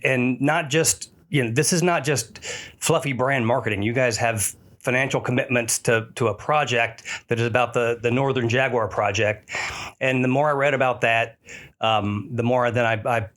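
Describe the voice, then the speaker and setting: A man's voice: mid-range voice, fairly bright tone. Man, podcast host, upbeat, casual chat, restarts sentences.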